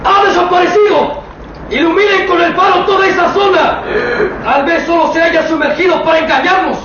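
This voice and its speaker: An actor playing a ruthless ship boss who barks frantic kill orders, in an old radio drama. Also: male voice, very high voice, fairly even intonation, moderate pace, crowd noise, noisy background. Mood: commanding, shouting. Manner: barking, frantic commands. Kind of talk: 1960s radio drama acting. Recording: distant, roomy, muffled vintage radio.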